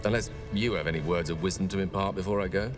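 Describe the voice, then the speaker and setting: A man's voice: low voice, fast, over music, lightly acted. A man playing a proud, witty wizard in a video game, eloquent, theatrical and wry, nursing old heartbreak. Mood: wry, nervous. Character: proud, witty male wizard, wounded ex-lover of a goddess. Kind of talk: dramatic video game dialogue.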